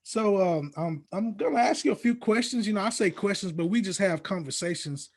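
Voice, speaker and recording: A man with a notably high voice hosts a podcast. He talks casually and upbeat, using plenty of slang as he chats like an interviewer. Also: animated, brisk, a little mellow. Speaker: podcast host.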